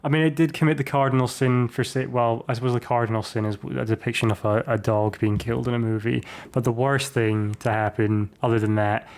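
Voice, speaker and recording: Man, podcast co-host, mid-pitched voice, casual chat, joking asides. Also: little pitch movement, brisk, hardly any pauses, a little mellow. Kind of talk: casual film discussion.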